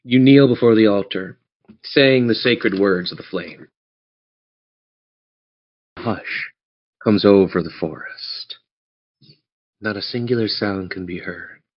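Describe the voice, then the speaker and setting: A man running a tabletop game as game master, narrating in a theatrical, measured storytelling manner. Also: fairly low pitch. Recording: dull lo-fi audio.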